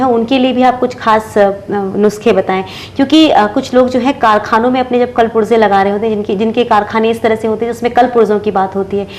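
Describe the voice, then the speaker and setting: A woman's voice: fairly high pitch, a little mellow, a little hiss or hum. A woman hosting an interview show, putting long-winded questions to her guest. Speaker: programme host interviewing an astrologer.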